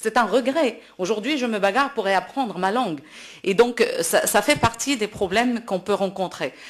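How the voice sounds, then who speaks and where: A woman's voice: mid-range voice, normal speed. Emphatic Moroccan woman speaking off the cuff. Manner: emphatic, repeats words while searching for phrasing. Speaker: classical opera singer speaking at a panel. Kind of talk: impromptu public speech at a debate.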